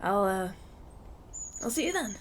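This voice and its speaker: Male actor as a young prince, courteous, nervous, stammering, very lively swooping intonation, audio roleplay. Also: sits high, measured pace, exaggerated voice. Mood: nervous, eager. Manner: stammering, hesitant, polite, animated. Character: polite, flustered young runaway human prince, injured and anxious. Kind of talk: ASMR audio roleplay, acted one-sided dialogue.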